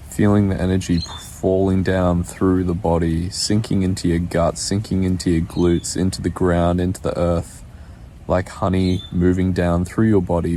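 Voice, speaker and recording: Low, creaky-voiced man guiding meditation. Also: dark tone, slight background noise. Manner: soothing, unhurried, with pauses. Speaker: meditation guide. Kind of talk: guided meditation instruction.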